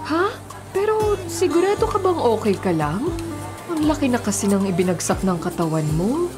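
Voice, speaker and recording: Radio drama: expressive, caring middle-aged Filipino friend, animated pitch swings, music behind. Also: female voice, moderate pace. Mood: worried. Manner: solicitous, animated.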